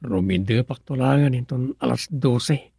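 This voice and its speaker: A man's voice: medium pitch, normal speed, vocal fry, mellow, breathy edge, dry recording, studio quality. An actor playing an exasperated rural village man on duty, with an Ilocano accent, in a comedic radio drama. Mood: grumbling.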